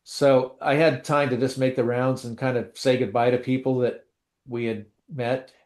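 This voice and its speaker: A man in a casual chat, telling loose, self-deprecating stories about his day. He talks at an ordinary pitch, with false starts and restarts, and his voice carries a roomy echo. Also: steady tone, normal speed, darkish tone. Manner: rambling, self-deprecating storytelling with many restarts. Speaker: co-host.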